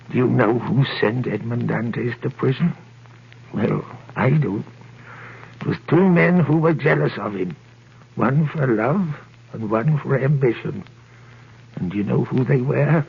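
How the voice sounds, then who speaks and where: An actor playing a gruff, gossipy, bitter French tailor in a 1930s radio drama, with a gravelly, husky, knowing delivery. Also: male voice, medium pitch, heavily acted. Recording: muffled old 1930s radio broadcast recording.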